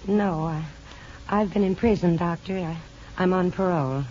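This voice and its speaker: An actress in a 1950s radio drama playing an earnest, hesitant young hospital aide from a farm. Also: female voice, on the low side, a little mellow, natural voice. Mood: guarded, earnest. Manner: hesitant, halting, restarts phrases. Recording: muffled, noisy old radio broadcast.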